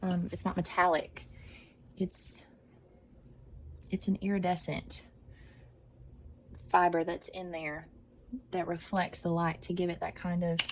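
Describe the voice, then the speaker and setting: A woman's voice: fairly low pitch, slight background noise. An older woman, chatting casually about her stitching with many restarts and repeats. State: congested. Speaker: embroidery vlogger.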